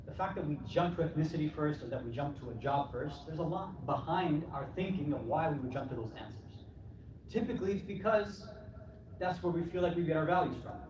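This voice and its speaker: A man giving a conversational sermon, asking rhetorical questions, often adding "right?" and voicing imagined replies. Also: a little high. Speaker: preacher addressing a congregation. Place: Islamic center hall. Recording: echoey hall, distant mic, clearly noisy.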